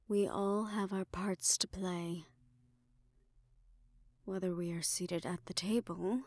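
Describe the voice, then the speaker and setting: A woman's voice: mid-range voice, a little mellow, dry recording, close to an everyday voice. A woman playing a poised, imperious hotel manager in an audio roleplay, speaking formally and with polite condescension. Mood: coolly philosophical. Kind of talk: scripted audio roleplay addressed to the listener.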